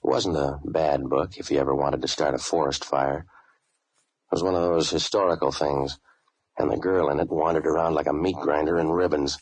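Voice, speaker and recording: A tough waterfront gumshoe from a 1940s radio drama. His voice sits very low and rough-edged, husky throughout. He delivers cynical wisecracks deadpan, like someone weary of the world. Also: normal speed, heavily acted, low-quality recording. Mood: deadpan, wry. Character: cynical, tough male waterfront boat renter for hire. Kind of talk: 1940s hard-boiled radio detective acting, first-person narration.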